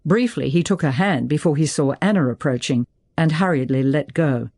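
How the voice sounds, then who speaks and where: A storyteller reads a scripted novel aloud at a medium pitch. The delivery is that of dramatic audiobook narration, set out scene by scene. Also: normal speed, darkish tone.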